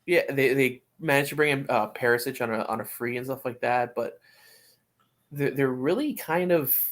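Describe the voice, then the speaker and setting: A man co-hosting a sports podcast, giving opinions in casual talk and often halting to find his words. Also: mid-range voice, a bit bright. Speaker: podcast co-host. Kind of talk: casual soccer discussion.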